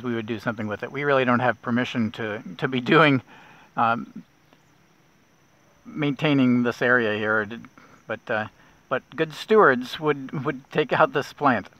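A middle-aged man guiding a kayak nature trip, explaining plants in a relaxed way with small restarts, recorded outdoors on a lake. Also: medium pitch, normal speed, dry recording. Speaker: naturalist guide. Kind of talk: outdoor nature walk narration. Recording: dull lo-fi outdoor audio.

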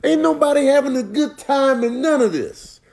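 A man's voice: very high-pitched, moderate pace, creaky, darkish tone. A man giving slangy, repetitive comic commentary over short clips. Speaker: comedian doing voiceovers.

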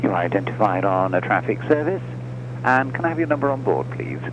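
A man's voice: on the low side, very animated, brisk. A man working as an air traffic controller, giving polite procedural instructions over aviation radio. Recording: muffled radio transmission with engine noise.

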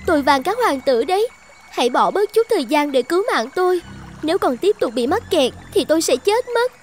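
A woman's voice: sits high, very animated, fairly quick, faint music behind. A woman voicing a small, plaintive, polite talking fish in a fairy-tale cartoon. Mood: desperate, pleading. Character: pleading, grateful little talking fish in trouble.

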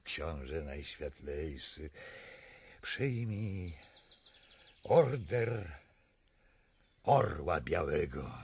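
A slow-spoken, sentimental Polish king. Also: a bass voice, very animated, vocal fry, darkish tone, slightly rough, heavily acted. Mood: conciliatory. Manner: solemn, declamatory, courtly. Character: sentimental, conciliatory Polish king, grandiose yet yielding. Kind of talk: radio drama acting.

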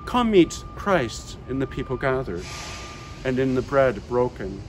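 A man's voice: mid-range voice, sing-song, a little slow, a little hiss or hum. A middle-aged man, a parish priest, preaching a sermon outdoors in a garden with earnest, rising and falling emphasis.

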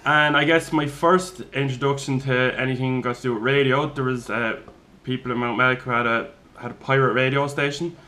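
A young Irish man in his twenties with a bright, forward voice, telling his story in a loose, relaxed way full of fillers and little laughs. He sits in a flat's kitchen and is picked up by a single room mic. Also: mid-range voice, brisk, hardly any pauses. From Ireland. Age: 20s. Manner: easygoing, chuckles often, loose with fillers. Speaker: podcast host. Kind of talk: casual chat, telling his own story. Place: kitchen of a flat, indoors.

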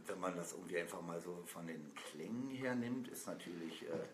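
A man with a very low voice chats loosely on a sofa, filling pauses with ums and uhs as he wanders through his thoughts. He is recorded by a camera a few feet away in a small, echoey room with a faint hiss behind him. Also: lively intonation. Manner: rambling, with many fillers. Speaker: band member. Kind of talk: casual chat on a live stream. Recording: roomy with faint noise.

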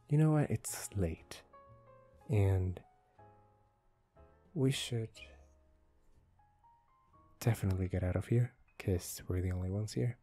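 A man playing a smitten, teasing young office worker in an ASMR romance roleplay, speaking softly and intimately, often close to a whisper. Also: deep voice. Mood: playful, happy. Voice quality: soft, breathy, often dropping to a whisper. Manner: slow, halting, intimate, teasing. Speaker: ASMR voice actor. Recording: music behind a close voice. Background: soft instrumental music.

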